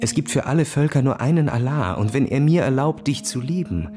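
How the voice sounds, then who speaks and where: A man's voice: mid-range voice, lively intonation, fairly quick, lightly acted. A young officer speaks with cocky, calculating persuasion, acted by a man. His mid-range voice swings expressively in radio-play style, with music strong behind it. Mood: tender, pleading. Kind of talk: radio drama acting.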